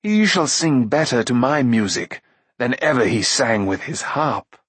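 A man's voice: mid-range voice, lively intonation, unhurried, a bit bright, breathy edge, no echo. A man voicing an eager, spiteful chief steward in an audiobook, with oily formal speech. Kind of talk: audiobook character acting.

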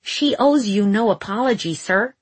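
A woman voicing a calm, protective man in an audiobook, speaking quietly and with measured, formal politeness. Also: on the high side, breathy edge, dry recording. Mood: calm, firm. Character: calm, restrained man protecting his sister.

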